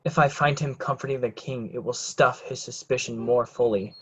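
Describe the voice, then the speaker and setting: A man's voice: mid-range voice, fairly even intonation, normal speed, fairly bright tone, breathy edge, low-quality recording. A man playing a scheming, hypocritical young nobleman in a Shakespeare reading. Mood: sly, calculating. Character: scheming hypocrite Edmund, ambitious young bastard son. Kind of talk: remote reader's theatre Shakespeare.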